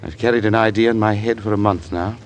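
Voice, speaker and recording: Radio drama husband, played by a man: moody writer, curt, fairly low, faintly noisy old recording. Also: fairly even intonation, fast talker, close to an everyday voice. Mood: frustrated, brooding. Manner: curt, dismissive, broodingly dramatic. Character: moody, brooding, dismissive male writer and husband.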